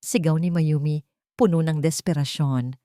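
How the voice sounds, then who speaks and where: A woman's voice: low-pitched, steady tone, moderate pace, warm tone, dry recording, clean studio sound. A woman narrating a scripted love drama in a dramatic storytelling style.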